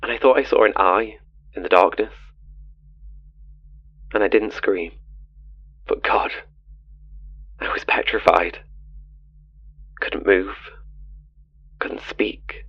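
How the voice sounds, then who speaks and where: A man's voice: on the low side, takes it slowly, pauses often, husky, dull audio, heavily acted. A man playing an awkward, earnest, haunted radio caller making a hesitant confession in an audio drama. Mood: haunted, fearful. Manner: hesitant, self-deprecating, earnest.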